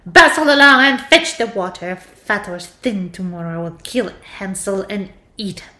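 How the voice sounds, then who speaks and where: A woman voicing a wicked, scornful old witch in a storybook reading, with a loud, drawn-out delivery. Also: mid-range voice, natural voice. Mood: impatient, cruel. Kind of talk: fairy tale storybook reading. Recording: echoey room.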